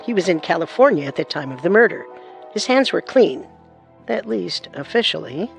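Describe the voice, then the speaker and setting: A woman's voice: lowish voice, big pitch swings, moderate pace, gravelly, faint music behind. A woman co-narrating a true-crime story in a scripted, suspenseful manner.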